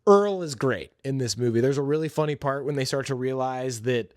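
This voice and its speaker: A man's voice: mid-range voice, a little fast, a little mellow, dry recording, clean studio sound. A man hosting a podcast, giving an informal, opinionated and enthusiastic movie review in casual, slangy talk. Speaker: podcast host.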